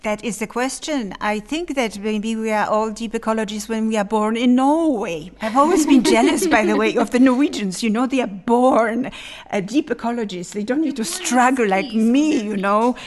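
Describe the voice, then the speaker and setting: A woman's voice: a little high, moderate pace, a little mellow. Wry middle-aged woman hosting a podcast. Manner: wry, poses rhetorical questions. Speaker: podcast host. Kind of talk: hosting a panel discussion.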